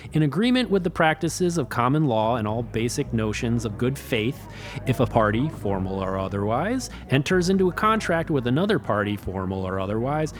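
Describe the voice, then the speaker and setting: Man playing a pirate mate, pedantic, officious, mid-pitched, pointed recitation, fantasy radio play. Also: close to an everyday voice. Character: pedantic male pirate first mate quoting guild law. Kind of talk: fantasy audio drama acting.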